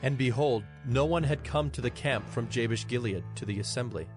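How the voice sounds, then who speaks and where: A man narrates scripture as part of a dramatized audio production. His pitch sits in a middle male register, and music plays softly behind him. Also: brisk. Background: background music.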